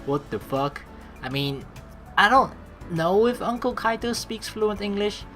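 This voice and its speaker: A woman's voice: mid-range voice, animated, a little slow, a bit bright. A young woman hosting a let's play, reading game narration aloud and commenting expressively in blunt, sweary language. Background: game music.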